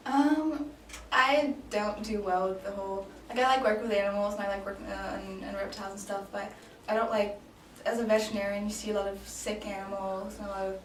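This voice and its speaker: A woman's voice: mid-range voice, steady tone, brisk. A woman in her late twenties, from Denmark, answering questions in a casual mock job interview, hesitant with many ums and restarts. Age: 20s. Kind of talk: casual improvised role-play interview. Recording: echoey room, mic at a distance, faint noise.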